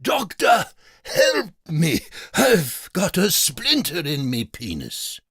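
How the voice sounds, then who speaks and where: A man puts on a pompous male aristocrat in a comic audio story, desperate and easily outraged. His gravelly, creaky voice draws words out slowly and swings wildly in pitch. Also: huge pitch swings, fairly bright tone, dry recording, clean studio sound, full character voice. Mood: agonized. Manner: melodramatic, indignant, exclamatory.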